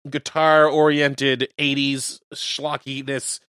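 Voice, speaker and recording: A man hosts a community radio show in a casual, chatty way. His voice is bright and medium in pitch. The sound is very dry. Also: level tone, slow pace, smooth, no room sound. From Canada.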